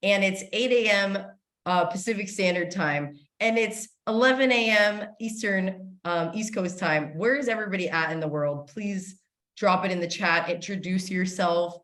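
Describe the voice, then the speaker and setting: A woman's voice: mid-range voice, normal speed, a bit bright. A woman hosting a live online summit in a chatty, gushing, informal way. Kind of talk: casual live interview hosting. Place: indoors, online video call.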